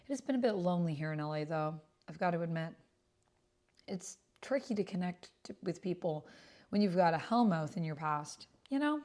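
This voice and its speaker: A woman's voice: lowish voice, animated, normal speed, dark tone, natural voice. A woman voicing a sassy, confident young woman in a chatty, teasing way for a tabletop roleplay. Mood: wry confiding.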